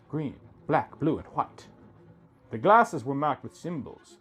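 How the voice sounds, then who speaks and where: A man reading a scripted first-person story as its narrator. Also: medium pitch. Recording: music bed under the voice. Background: music.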